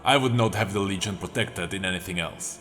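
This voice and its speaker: A man voicing a hulking, blunt warlord with a rough, growling, common-sounding voice. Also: low-pitched, a little fast, fairly bright tone, big-room sound, faint music behind, voice pushed down. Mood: gruff contempt. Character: hulking brutish male warlord, blunt and gruff.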